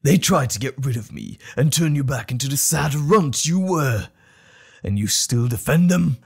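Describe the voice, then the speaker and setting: A man's voice: medium pitch, lively intonation, moderate pace, vocal fry, husky. A man voicing a wild, contemptuous male alter ego in an audio drama, taunting and sneering.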